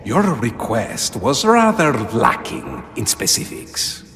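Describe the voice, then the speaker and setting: An actor playing a sly, theatrical jester spirit who teases with courtly, drawn-out phrasing. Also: male voice, mid-range voice, wildly swooping pitch, a bit bright, faint music behind, heavily acted. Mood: amused, smug. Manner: teasing, drawn-out, swooping delivery.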